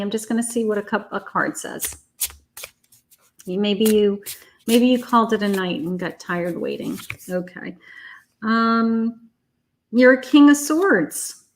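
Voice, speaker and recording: A woman doing a live tarot reading, chatty and reassuring, calling viewers honey and sweetheart, her voice starting to wear out. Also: mid-range voice. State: losing her voice. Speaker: psychic tarot reader.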